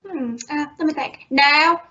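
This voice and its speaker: Through a muffled, noisy recording comes an old-time radio housewife and mother played by a woman. Her voice is high, and she is earnest, fretful and gently reproving. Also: a little slow. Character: earnest, gently scolding suburban wife and mother. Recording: muffled recording with clear noise.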